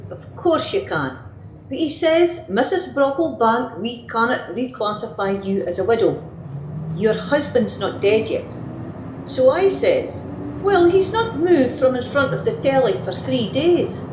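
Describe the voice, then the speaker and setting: Gossipy, work-shy Scottish wife played by a woman, radio play, higher-pitched, complaining; noisy home recording. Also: very animated, unhurried, roomy sound. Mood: indignant grumbling.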